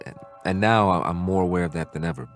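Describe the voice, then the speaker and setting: A man with an American accent acting an eager, naive scientist in a radio drama, speaking in a halting, excitable, stammering way. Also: sits low, vocal fry, soft background music. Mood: nervous, grateful. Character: eager, naive male American scientist stranded on another planet.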